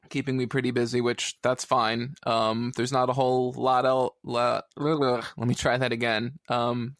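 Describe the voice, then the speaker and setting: A man's voice: mid-range voice, no echo, clean studio sound. A man co-hosting a podcast, talking casually in a rambling, hesitant way with tongue-in-cheek asides. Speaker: podcast co-host. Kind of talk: casual comic book review chat.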